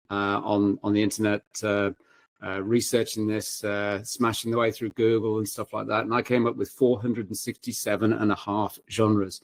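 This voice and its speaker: A man with a fairly low voice talks casually as a panel guest. He feels his way through sentences hesitantly, and the sound is close and dry. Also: dry recording.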